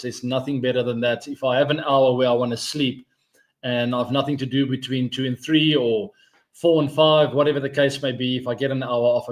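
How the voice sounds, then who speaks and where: A man in his forties, hosting a live cricket stream in a chatty, rambling, upbeat way, thinking aloud as he goes. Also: mid-range voice. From South Africa. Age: 40s. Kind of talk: casual live-stream chat.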